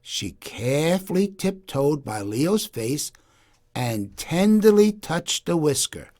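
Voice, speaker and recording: A man's voice: fairly high pitch, very animated, slow, warm tone. A man narrating a scripted children's story for English learners.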